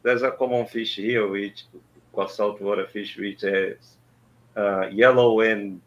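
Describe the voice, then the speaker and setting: A man from Brazil with a Brazilian Portuguese accent, speaking as a caller in a casual chat in halting English and searching for words. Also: lowish voice, steady tone, slight background noise. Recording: dull lo-fi line.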